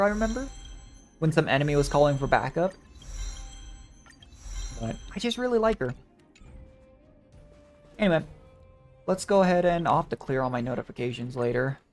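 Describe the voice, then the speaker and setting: Game streamer thinking aloud over music. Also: medium pitch. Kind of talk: casual gaming livestream chat.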